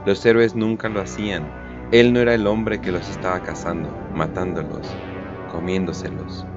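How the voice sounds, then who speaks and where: A low-pitched man narrates a dark war story in a solemn, deliberate manner. Music plays clearly behind his scripted, dramatic reading. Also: muffled recording.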